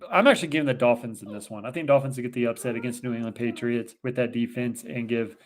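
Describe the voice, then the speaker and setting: A man's voice: mid-range voice, quick pace. Boston guy making nfl picks, confident, chatty. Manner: confident, rattles off predictions. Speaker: sports picks co-host. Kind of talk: casual sports betting chat.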